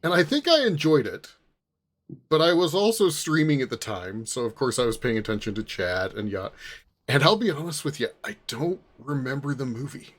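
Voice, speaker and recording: A man chatting casually on a podcast panel, halting and repeating words as he goes. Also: mid-range voice, expressive.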